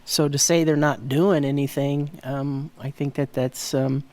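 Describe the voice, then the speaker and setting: A man speaking off the cuff in a civic meeting, measured and hesitant as he carefully makes his point. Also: mid-range voice, fairly even intonation, darkish tone. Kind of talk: unscripted meeting discussion.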